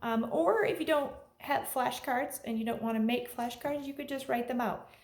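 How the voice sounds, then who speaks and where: A woman reading aloud to young children like a teacher, in an animated way with simple phrasing addressed straight to them. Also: sits high, normal speed. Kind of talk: children's read-aloud, with sung refrains and asides to listeners. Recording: echoey room with faint background noise.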